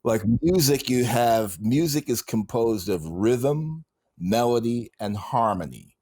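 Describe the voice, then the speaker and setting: A man as the guest on a casual interview podcast, relaxed, laughing easily and trailing off at times. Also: medium pitch, slow, breathy edge, no room sound. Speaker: guest knifemaker.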